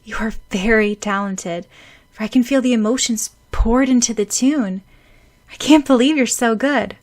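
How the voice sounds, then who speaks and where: A woman voicing a spirited teenage schoolgirl with second sight, earnest and quick to protest. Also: mid-range voice, animated, close to an everyday voice. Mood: admiring. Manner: indignant, pleading, earnest.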